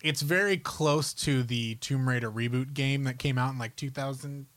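A man's voice: medium pitch, moderate pace, a bit bright, no room sound. Casual male podcast host, dry close sound. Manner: informal, conversational.

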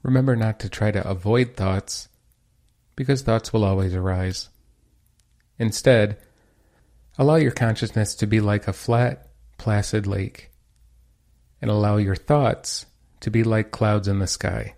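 A man leading a guided meditation with calm, measured instructions and pauses between phrases. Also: fairly low pitch.

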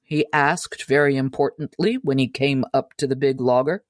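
A woman's voice: extremely low pitch, steady tone, normal speed, no room sound. Woman narrating a storybook, close and dry. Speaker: audiobook narrator.

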